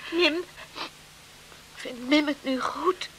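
A very high-pitched, tender sweetheart. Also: female voice, very slow, a bit bright, slight background noise, heavily acted, rough recording. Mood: timid. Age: young adult. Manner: pleading, tender. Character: tender, anxious young sweetheart, obedient daughter. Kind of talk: period radio play acting.

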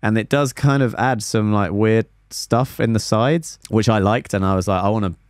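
A man's voice: lowish voice, normal speed, no echo. A young man, a music producer, casually talks through a track in his small home studio, sometimes scatting melodies to show what he means. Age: young adult. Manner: relaxed, scats melodies to demonstrate. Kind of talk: casual explanatory stream breakdown. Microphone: studio mic on boom arm.

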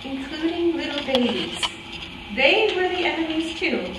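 A middle-aged woman playing the distraught wife of an accused war criminal in a staged reading, agitated, pleading and halting. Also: high voice, big pitch swings. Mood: accusing, distressed. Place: indoor room, table before a plain wall. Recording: echoey room, distant microphone, faint noise.

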